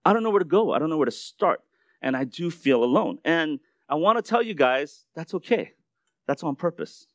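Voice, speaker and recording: A man's voice: fairly high pitch, animated, normal speed, a bit bright, dry recording, studio quality. A middle-aged Korean American man sharing his story in a conversational sermon, often restarting his words. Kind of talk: sermon testimony.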